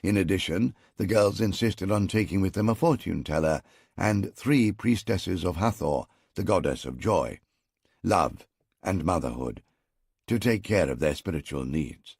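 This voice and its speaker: A man reads a historical audiobook in a measured, wry first-person manner. His voice is very deep with a husky grain, and it moves animatedly up and down. The sound is dry and close. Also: expressive, darkish tone, no echo. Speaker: audiobook narrator.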